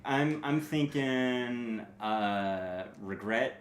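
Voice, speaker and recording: A man's voice: mid-range voice, drawn-out words, slight background noise. A man co-hosting a casual book discussion, rambling and joking with plenty of swearing. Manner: rambling, jokey, profane, acts out imagined dialogue. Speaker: podcast co-host. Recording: echoey room.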